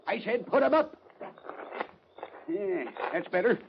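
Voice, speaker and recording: An actor playing a folksy, wily old cowboy sidekick in a western radio drama, drawling and teasing in folksy cowboy talk. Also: male voice, sits high, animated, slow pace, creaky, heavily acted. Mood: mocking, menacing. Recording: muffled, crackly old-time radio recording.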